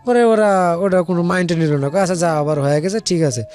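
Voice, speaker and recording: A man's voice: sits high, brisk, a little mellow, soft background music. A man with a Bangladeshi accent telling a personal story in casual, colloquial speech, rambling and repeating phrases as he quotes conversations. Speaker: guest telling their life story.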